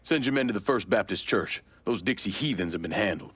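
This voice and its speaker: An actor playing a cold, controlled, menacing man out for revenge in a video game, speaking tersely with quiet command. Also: on the low side, animated, a little fast, slightly rough, no echo. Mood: cold menace. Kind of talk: video game dramatic acting.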